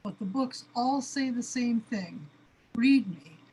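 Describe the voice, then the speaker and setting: A woman's voice: on the high side, lively intonation, slow, mellow, husky, heavily acted, rough recording. A woman acting in a radio play as an overwhelmed, panicky cosmic being, anguished and questioning. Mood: troubled. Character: overwhelmed, panicky cosmic being trapped in its own space body.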